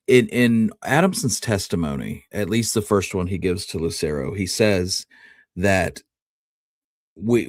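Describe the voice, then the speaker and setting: A man's voice: lowish voice, no room sound, studio quality. A man co-hosting a conversational history discussion, thinking aloud as he builds theories step by step. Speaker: podcast co-host.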